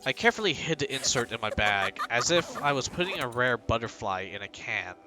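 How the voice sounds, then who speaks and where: A man's voice: mid-range voice, sing-song, a little fast, music in the background. A male streamer reading a game's text aloud and breaking off for joking, rambling asides.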